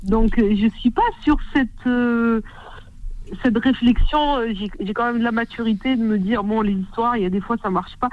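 A woman in her late thirties, calling in to a radio show and talking earnestly about a relationship, pausing to search for words. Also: fairly high pitch. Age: 30s. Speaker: caller. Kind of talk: radio call-in confiding. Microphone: phone. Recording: tinny phone line.

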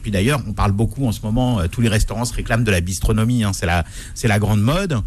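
A man's voice: lowish voice, fast, no echo. A man co-hosting a food radio show as critic, repeating words and stammering while he thinks.

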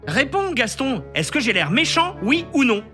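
A grumpy, bossy cartoon dragon, as a man acts it out, very high-pitched and bright. It swings up and down in pitch as it demands and complains. Soft music plays behind it. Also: big pitch swings, normal speed, heavily acted. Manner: indignant, demanding, rhymes names.

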